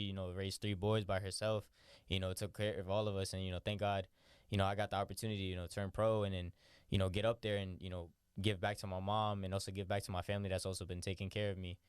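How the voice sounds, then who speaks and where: A young man, a boxer, chatting casually in an interview, relaxed and rambling with lots of "like" and "you know". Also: sits low, clear, resonant tone, no echo, clean studio sound. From born in New York, raised in Florida. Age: young adult. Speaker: professional boxer, interview guest.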